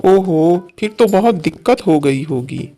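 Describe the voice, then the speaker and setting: A considerate young husband in a cartoon family drama, played by a voice actor. He sounds agreeable and understanding, at a medium pitch. Music plays faintly underneath. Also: expressive, unhurried, mellow, natural voice. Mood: sympathetic. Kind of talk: Hindi cartoon moral story dialogue. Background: background music.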